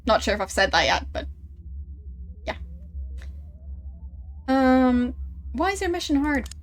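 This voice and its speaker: Relaxed, slow-talking woman streamer. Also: high-pitched, soft background music. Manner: relaxed, chuckling, teasing about her accent. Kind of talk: casual livestream chat, reading chat.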